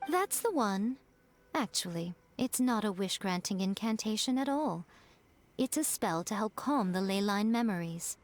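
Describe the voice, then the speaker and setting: A woman's voice: mid-range voice, animated, measured pace, close to an everyday voice. A woman voicing a sly, elegant fox shrine priestess in a video game, playful, teasing and self-assured. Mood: composed, explanatory. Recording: music behind the voice.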